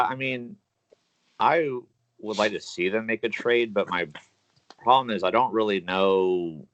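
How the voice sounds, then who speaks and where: A man's voice: low voice, a bit bright, dry recording. A man giving casual sports opinions as a podcast co-host, recorded on a muffled, lo-fi microphone.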